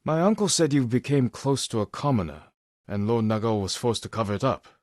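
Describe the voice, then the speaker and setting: An actor playing a grave, resolute samurai warrior in a video game, speaking in measured, solemn, terse lines with a husky voice. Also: male voice, medium pitch, expressive, a little mellow, dry recording, studio quality, natural voice. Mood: urgent, stern. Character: stoic, honour-bound samurai warrior, adult man, grave and resolute. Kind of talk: dramatic scripted game dialogue.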